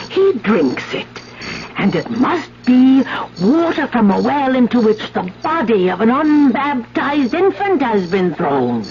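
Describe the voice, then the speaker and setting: Creaky, sinister older peasant woman. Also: medium pitch, noisy background, exaggerated voice. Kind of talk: 1970s radio drama acting. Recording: dull 1970s radio, music underneath.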